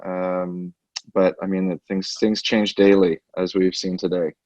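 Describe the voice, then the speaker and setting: A man giving unscripted market commentary as a portfolio manager, haltingly, repeating words as he thinks aloud on a conference call. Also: low-pitched, flat delivery, a little mellow. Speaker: portfolio manager, currency specialist. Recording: dull, roomy conference call line.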